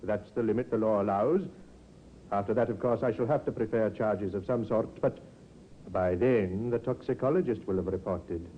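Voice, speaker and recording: An actor playing a calm, methodical male police inspector in a vintage radio drama, formal and politely insinuating. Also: lowish voice, normal speed, natural voice. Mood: coolly firm. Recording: muffled, hissy 1950s radio recording.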